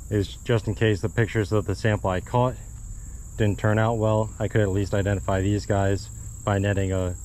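A man's voice: on the low side, darkish tone, slight background noise, low-quality recording. A man chatting easily and thinking aloud as he narrates a fishing trip at a marsh pond, recorded on a body-worn action camera. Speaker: fishing vlogger. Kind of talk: casual outdoor vlog narration.

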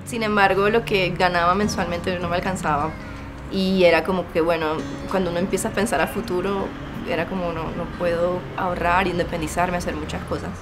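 A woman in her thirties from Venezuela, a comic artist, talking in a relaxed, chatty way in a documentary interview. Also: fairly low pitch, fairly quick, over loud music. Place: room with bookshelves.

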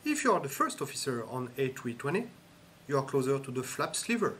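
A man giving scripted instructional narration in a measured, instructive way. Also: mid-range voice, very animated, fairly bright tone, slight background noise. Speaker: training narrator.

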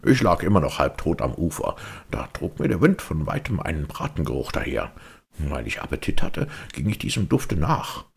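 Man voicing gravelly, deep, loyal storybook dog. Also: sing-song, moderate pace, heavily acted. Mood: modest. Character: loyal male dog, modest, kindly friend. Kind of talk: children's audiobook character voice.

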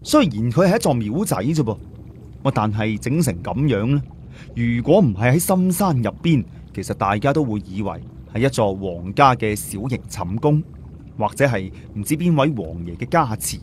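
A man's voice: mid-range voice, sing-song, normal speed, darkish tone, a little hiss or hum. A man with a Cantonese accent narrating an audiobook story in a dramatic, scripted storytelling style.

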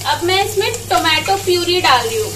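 A woman's voice: very high-pitched, expressive, fairly quick, recorded from a distance, over music. A woman explaining a recipe step by step as she cooks in her home kitchen. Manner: step-by-step instructing. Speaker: home cook vlogger. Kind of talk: cooking demonstration.

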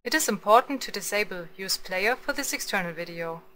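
A woman reading scripted screencast narration as a tutorial narrator, in a measured, instructional manner. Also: mid-range voice, lively intonation, sharp tone.

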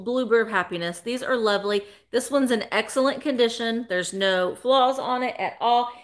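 A talkative woman in her forties with a bright, forceful voice pitched a little high, hosting a live sale. She speaks animatedly into a close webcam in a small indoor room. Her delivery is chatty and upbeat. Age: 40s. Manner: animated, talkative, greets viewers by name. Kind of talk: chatty live selling stream. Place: small indoor room full of vintage decor. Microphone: webcam at desk, close framing.

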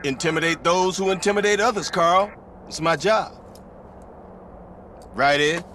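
A man's voice: on the high side, measured pace, sharp tone, a little hiss or hum. An actor playing a sadistic, corrupt policeman in a video game, taunting and theatrically menacing. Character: sadistic corrupt policeman, mocking male villain. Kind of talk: video game cutscene acting.

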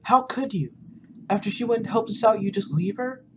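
A man's voice: high-pitched, fairly quick, noisy background, voice pushed up, natural voice. A man voicing an indignant, hurt female adventurer in a game roleplay, curt and accusing. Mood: angry, hurt.

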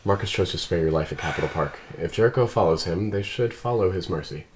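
A man acting a calm, precise android detective in a scripted drama read, speaking in a measured, formal way. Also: low-pitched, slight background noise, close to an everyday voice. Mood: composed. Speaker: actor reading an android detective at a table read.

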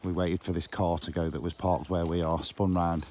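A middle-aged man from England with a croaky voice, joking casually as he films his vlog outdoors. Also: low voice, level tone, moderate pace, darkish tone, slight background noise. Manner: jokey, teasing banter. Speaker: vlogger. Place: outdoors by a lake and countryside path. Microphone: phone camera held close.

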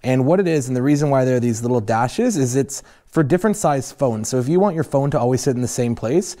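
A young man casually walking viewers through installing a snowmobile accessory in a garage workshop, relaxed and plain-spoken with many fillers. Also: mid-range voice, fast. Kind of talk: casual step-by-step install walkthrough.

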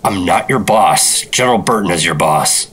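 Radio-play lead: firm American government agent, 1950s, crisp bright tone, authoritative, measured delivery. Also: male voice, deep voice, lively intonation, full character voice. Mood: firm, placating. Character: firm, dutiful 1950s government secret operative. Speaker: lead actor and narrator. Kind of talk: 1950s radio drama acting and narration.

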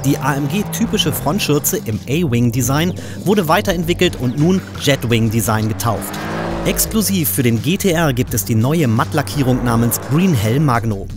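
A man with a German accent reading a scripted, brisk and technical car-review voice-over. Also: medium pitch, expressive. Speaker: car magazine narrator. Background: music.

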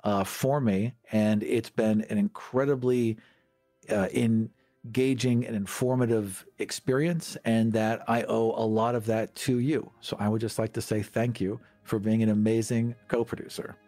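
A man's voice: on the low side, fairly even intonation, unhurried, dark tone, no room sound, soft background music. A man co-hosting a podcast, talking casually about tabletop games. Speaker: podcast co-host.

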